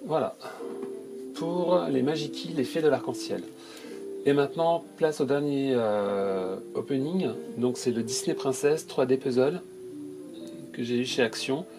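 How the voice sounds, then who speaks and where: A man's voice: mid-range voice, measured pace, music in the background. A man with a cold, unboxing toys for his channel and describing each item in a hesitant, casual way with many fillers. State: stuffy from a cold. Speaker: toy unboxing youtuber.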